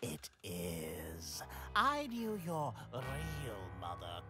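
A man's voice: on the low side, huge pitch swings, very slow, exaggerated voice. A man voicing a smug, creepy older scientist villain with a theatrical, taunting drawl in a comedic cartoon parody. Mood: sly. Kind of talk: comedic cartoon dub acting. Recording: loud music behind the voice.

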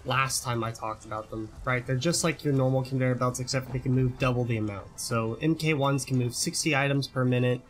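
A man's voice: medium pitch, fairly even intonation, a little fast, few pauses, a little hiss or hum. A young man giving casual tutorial commentary over gameplay, chatty, often repeating words and asking "right?". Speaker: gaming YouTuber.

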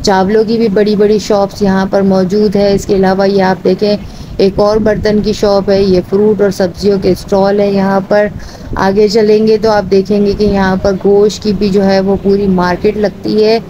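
A woman's voice: medium pitch, normal speed, lo-fi sound, a little hiss or hum. A woman with a Pakistani Urdu accent, narrating a market tour and listing goods and prices one by one. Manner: steady, listing items one by one. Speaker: vlogger. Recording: music bed underneath.

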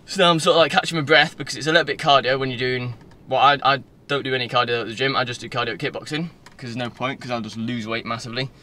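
A man's voice: medium pitch, fairly even intonation, rapid-fire, hardly any pauses, bright, forceful tone. A man in his twenties with a Northern English accent, chatting casually and loosely in a rambling vlog, recorded on a phone at arm's length inside a car. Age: 20s. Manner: informal, loose, digressive. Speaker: vlogger. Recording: faint car-interior background noise.

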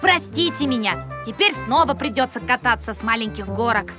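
A woman's voice: fairly high pitch, animated, normal speed, music in the background, lightly acted. A woman voicing a mischievous, bickering young raccoon child in a children's cartoon. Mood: sheepish.

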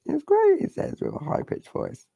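A man hosting a live craft-along, chatting casually and rambling as he works, with a hoarse voice after days of talking. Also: normal speed, dark tone, no echo, studio quality. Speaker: craft demonstrator. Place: craft studio table. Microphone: overhead camera on hands, speaker unseen.

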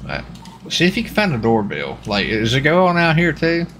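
A man's voice: fairly high pitch, wildly swooping pitch, fairly quick, fairly bright tone, slight background noise. A man chatting casually in folksy, colloquial phrasing while roleplaying a lawman in a video game. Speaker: streamer playing a US marshal in GTA roleplay.

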